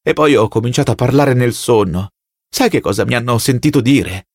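A man's voice: medium pitch, a little mellow, dry recording, studio quality, close to an everyday voice. A man voicing a plump, servile, agitated party loyalist who whines and pleads in an audiobook. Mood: solemn, self-pitying. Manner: whining, rapid-fire questions with tag words.

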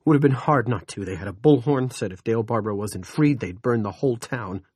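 A man's voice: medium pitch, moderate pace, vocal fry, breathy edge, dry recording, clean studio sound, pitched above the performer's own voice. A male narrator voicing a distraught big newspaperman who wails, sobs and curses. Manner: wailing, sobbing, cursing. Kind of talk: audiobook character reading.

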